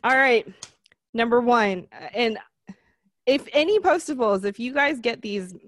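A woman's voice: fairly high pitch, expressive, measured pace, dry recording, clean studio sound. Upbeat woman hosting a podcast. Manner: upbeat, leads trivia quiz. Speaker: podcast host. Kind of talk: casual podcast chat.

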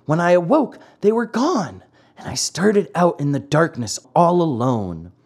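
A narrator voicing a whiny, stubborn little wooden boy marionette in a high, squeaky, childlike storybook voice. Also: very animated, unhurried, darkish tone. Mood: excited, breathless telling. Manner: whining, childlike, pleading.

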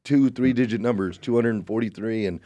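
A man, a podcast guest, casually explaining an idea with animated storytelling. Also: fairly low pitch, fairly quick, no echo. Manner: animated storytelling, says "dude" and "right?" a lot.

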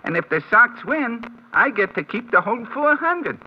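An actor playing a chummy, streetwise bookmaker with clipped, slangy, amused talk in a 1950s radio drama. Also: male voice, very high voice, very animated, normal speed, breathy edge, exaggerated voice. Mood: amused, incredulous. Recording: muffled vintage radio recording.

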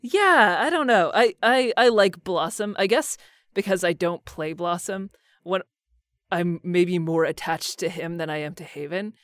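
Female guest, slightly low voice, dry close sound, playful and conversational. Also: a little slow, no echo. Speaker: voice actor guest. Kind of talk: casual chat.

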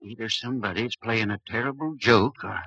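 A skeptical small-town doctor in a vintage radio play. His voice is fairly low, hard-edged and raspy. He blusters impatiently in a folksy way. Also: a little fast, bright, forceful tone, dry recording, lo-fi sound, heavily acted. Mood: uneasy. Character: irritable, skeptical country doctor, a rattled man. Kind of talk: old-time radio drama acting.

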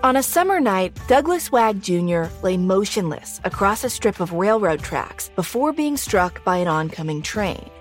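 Lively-pitched woman narrating true crime. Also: normal speed, hardly any pauses, soft background music. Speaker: investigative journalist, podcast host.

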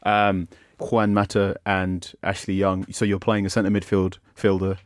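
A man with a Manchester accent and a low voice, giving football opinions on a podcast. His manner is wry and animated. The studio sound is close and dry. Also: unhurried, no room sound. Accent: Mancunian. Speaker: football pundit. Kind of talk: podcast analysis.

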